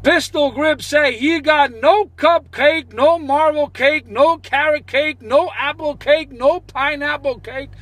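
A man with a very high voice banters on CB radio. He is boastful, chanting and repeating his phrases as he goes. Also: slow pace, hardly any pauses, a bit bright, dry recording. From New Jersey, United States. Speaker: CB radio operator.

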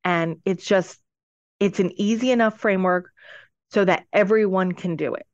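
A woman's voice: medium pitch, normal speed, no room sound. A woman speaking as a podcast guest and business adviser, explaining conversationally and emphatically, step by step. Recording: dull lo-fi audio.

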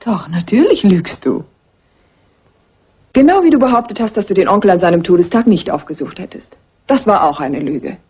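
A willful, teasing heiress with a husky voice that swings freely in pitch. She is cool and imperious, in dull vintage film-dub sound. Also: sing-song, moderate pace, mellow. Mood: cool, accusing. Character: self-assured, willful, teasing young wife and heiress. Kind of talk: German film dub acting.

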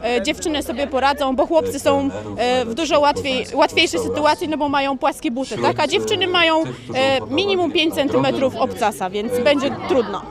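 A woman's voice: high-pitched, moderate pace, hardly any pauses. A young woman leading a restaurant team, interviewed on a handheld mic on a crowded town square, chatty with fillers. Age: young adult. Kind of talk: street interview. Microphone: handheld reporter mic. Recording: outdoor street recording, loud music and crowd.

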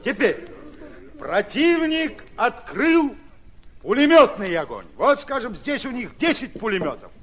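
An actor playing a brash, hot-tempered Red Army commander in a radio play, lecturing in rustic, emphatic colloquial speech. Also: male voice, extremely high pitch, very animated, measured pace, a bit bright, exaggerated voice. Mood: emphatic, instructive.

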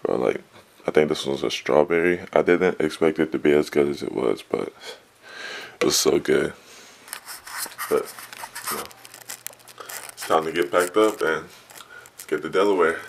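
A man's voice: extremely low pitch, normal speed, gravelly, long pauses, a little hiss or hum. A young man, a college basketball player, talking casually to a phone camera in a hotel room, in an easygoing way with lots of fillers. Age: young adult. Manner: laid-back, filler-heavy talk. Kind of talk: casual vlog narration. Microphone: phone camera at arm's length.

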